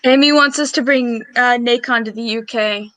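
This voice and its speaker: Female, high-pitched with a forward, bright edge; informal banter, closely dry sound. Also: normal speed, dry recording. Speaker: hangout participant. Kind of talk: casual chat with friends. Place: online group video call.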